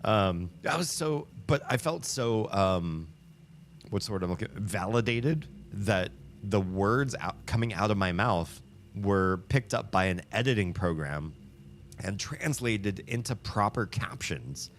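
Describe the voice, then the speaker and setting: A man with a low voice hosts a livestream, talking with viewers in a chatty, upbeat way. He rambles and restarts his sentences, with many ums and likes. A faint hiss sits underneath. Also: animated, measured pace. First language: English. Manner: rambling, with frequent fillers and restarts. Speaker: livestream host. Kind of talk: casual chat with viewers.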